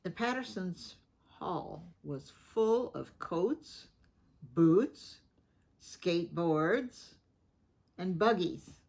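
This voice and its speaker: Slow, husky woman reading a picture book. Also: on the low side, animated. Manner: gentle, chatty, pauses to ask the listener questions. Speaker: storybook reader.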